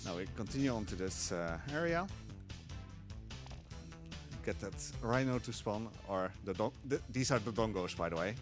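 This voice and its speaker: A man giving casual live speedrun commentary, explaining haltingly with dry humour. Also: lowish voice, animated, over music. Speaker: speedrunner at a gaming marathon.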